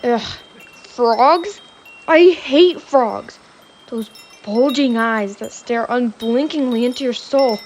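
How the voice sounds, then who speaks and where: A woman's voice: a little high, slow pace, darkish tone, slight background noise. A woman playing a practical, wry spaceship crew member in a sci-fi audio sitcom. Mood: disgusted dread.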